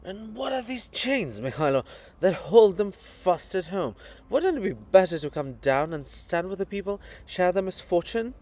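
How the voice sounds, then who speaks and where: A man's voice: high voice, very animated, normal speed, few pauses, a little mellow, voice pushed up. Man as old poet statue, stage-play reading, declamatory, anguished, fatherly, very varied intonation. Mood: ranting, indignant. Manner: declamatory, rhetorical. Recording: clearly noisy background.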